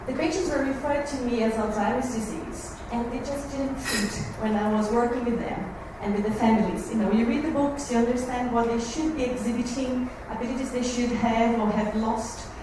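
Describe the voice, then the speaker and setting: A woman with a Brazilian accent addresses a room of families, earnest and a little nervous. Her voice sits a touch high. She is picked up from far across a very echoey room with steady background noise. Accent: Brazilian Portuguese. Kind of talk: talk to a support group. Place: meeting room, recorded from far off.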